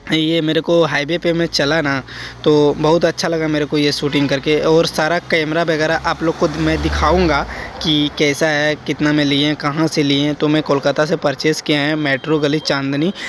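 A young man rambling chattily to viewers while riding a motorcycle on rural roads, recorded on a helmet-mounted action camera. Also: fairly high pitch. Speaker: moto vlogger. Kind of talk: casual motovlog commentary while riding. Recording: wind and road noise from riding.

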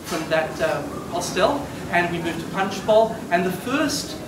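A man's voice: high voice, a little slow. A man in his fifties from Argentina, whose was Spanish, telling a rambling story at a memorial. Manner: rambling, animated, gestures broadly with both hands. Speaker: eulogist. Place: glass-walled room by a beach. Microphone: far camera. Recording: roomy and distant with noise.

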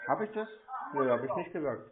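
A man on a casual prank phone call, answering deadpan and playing clueless. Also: on the high side, fairly quick, crowd noise. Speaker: scam-baiting prankster taking a call. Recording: tinny narrowband phone line.

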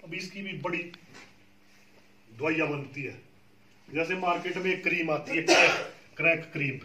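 Man, patient trainer explaining to farmers; slightly high voice, distant and roomy with faint background noise. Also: normal speed, sharp tone. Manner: patient, explanatory, often checks with "ठीक है जी". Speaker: beekeeping trainer. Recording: echoey room, far from the mic.

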